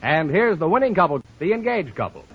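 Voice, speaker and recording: A man's voice: a little high, very animated, a little fast, slightly rough, faint music behind. An American man announcing a 1940s radio quiz show from a script. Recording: muffled vintage radio broadcast.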